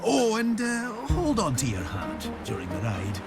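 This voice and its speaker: Gravelly, wildly animated old sea captain. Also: male voice, a little high, measured pace, over loud music, heavily acted. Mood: jovial. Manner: folksy, animated, swooping delivery. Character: eccentric, jovial old seafaring captain. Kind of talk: video game character acting.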